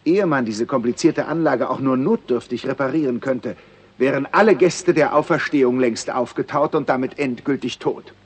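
An actor playing an irritable, commanding male state secretary in a 1960s radio play, husky and sarcastic. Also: medium pitch, moderate pace, natural voice. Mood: irritated, scornful.

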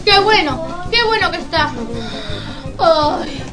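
A woman's voice: very high voice, expressive, slow, music in the background, exaggerated voice. A woman voicing a greedy, menacing big bad wolf in a children's radio play, exclaiming in short declamatory bursts.

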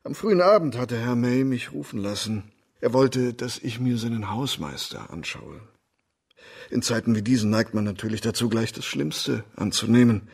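A man in a radio drama playing an oily, lecherous doctor who talks smoothly and fawns on authority. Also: medium pitch, lively intonation, moderate pace. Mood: fawning, formal. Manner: smooth-talking, obsequious, formal.

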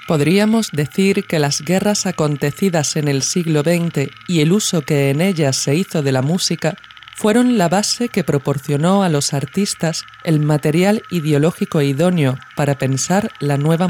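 A woman hosting a podcast, reading a scripted essay in a measured, reflective way. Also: deep voice, a little mellow, a little hiss or hum.

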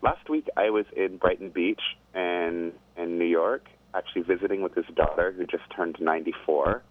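Guest: deep-voiced man on a phone line, easygoing storyteller, laughs often. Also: normal speed. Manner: thoughtful, rambling, laughs easily. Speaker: guest singer. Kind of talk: casual interview chat.

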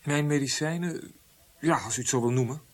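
An actor playing a proud, defiant physician in a radio drama, curt and politely sarcastic. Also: male voice, fairly high pitch, a little fast, natural voice. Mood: guarded. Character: proud Italian court physician, defiant and dry.

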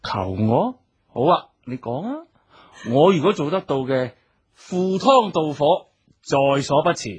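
An actor with a Hong Kong accent playing a suave, teasing suitor in a radio drama, glib and wheedling with theatrical sighs. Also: male voice, a little high, big pitch swings, a little slow, breathy edge, lo-fi sound, full character voice. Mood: flirtatious. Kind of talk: Cantonese radio drama acting.

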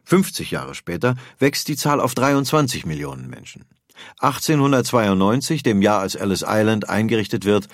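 Low, steady male documentary narrator. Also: dry recording, clean studio sound. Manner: steady, informative.

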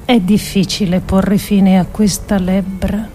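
Actress as a grave idealistic woman thinker, radio drama monologue, slow measured delivery, soft mellow tone, dramatic pauses. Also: mid-range voice, flat delivery, soft background music, lightly acted. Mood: grave, urgent. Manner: deliberate, measured, emphatic phrasing with dramatic pauses. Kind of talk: theatrical scripted monologue adapted from philosophical essays.

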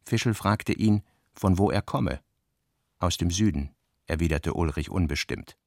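Guy narrating a literary radio play, lowish gravelly voice, very dry studio sound. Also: unhurried, a little mellow, no echo.

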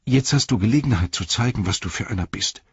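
Man as storybook halfling servant, male, earnest, rambling, folksy, deferential, raspy edge, slightly dull sound. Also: on the low side, lively intonation, fast, no echo, voice pushed up, full character voice. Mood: flustered, pleading.

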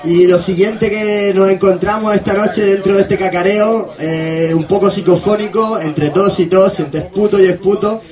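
Higher-pitched male host over crowd noise. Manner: rambling, playful wordplay. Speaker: radio show host. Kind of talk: casual radio presenting.

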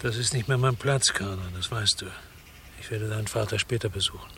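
An actor playing a disillusioned, guilt-ridden policeman who narrates a radio drama in a brooding, laconic way with a husky voice. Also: lowish voice, fairly quick, pushed and bright, a little hiss or hum. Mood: subdued. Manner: brooding, understated. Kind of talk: radio drama first-person narration and dialogue.

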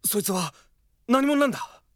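Serious knight, young, tense, terse, with lively intonation, radio drama. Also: male voice, very high voice, moderate pace, no echo, clean studio sound.

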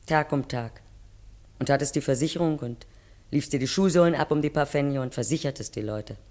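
A woman acting a weary, pleading working-class wife in a 1950s German radio drama, with earnest, deliberate phrasing. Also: extremely low pitch, darkish tone. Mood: weary, narrating. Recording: 1953 radio recording, faint music behind.

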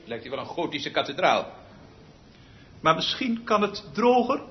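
Radio play role: tyrannical, exasperated male conductor, highish, very animated, theatrical, from muttering to bellowing, on a dull old recording. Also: unhurried. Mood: exasperated, commanding.

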